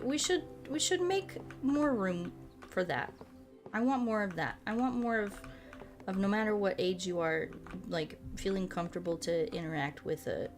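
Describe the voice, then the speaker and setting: A woman in her late twenties chatting informally as a livestream host, rambling and animated, with loose, sweary language. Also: mid-range voice. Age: late 20s. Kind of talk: casual chat while playing a game, reading and answering chat.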